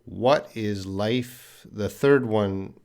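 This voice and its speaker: A man's voice: on the low side, drawn-out words, darkish tone. A middle-aged man with a light Canadian accent teaching English on a live stream, patiently answering viewers' questions and repeating words for learners.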